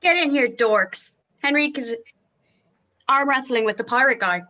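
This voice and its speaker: A woman playing a brash, bossy, excitable bandmate in a script table read, slangy and blunt. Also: high voice, normal speed. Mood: excited, bossy.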